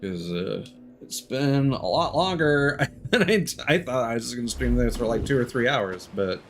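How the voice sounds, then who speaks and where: A man's voice: medium pitch, lively intonation, normal speed, a little hiss or hum. A man casually commentating on his video game livestream in a dry, mildly sardonic way. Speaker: video game live streamer.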